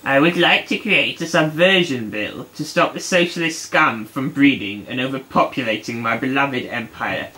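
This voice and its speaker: Man doing a bright, pompous emperor puppet. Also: mid-range voice, moderate pace, few pauses, close to an everyday voice, rough recording. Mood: imperious, scheming. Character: pompous, petulant German emperor puppet, scheming and imperious. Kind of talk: comic puppet show dialogue.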